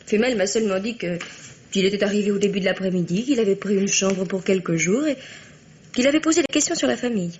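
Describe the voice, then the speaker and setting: A young woman playing an earnest, well-bred, spirited granddaughter in a radio drama, polite and at times hesitant, with a slightly breathy, husky voice. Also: mid-range voice, quick pace, a little mellow, muffled recording, natural voice. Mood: curious. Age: young adult. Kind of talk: French radio drama acting.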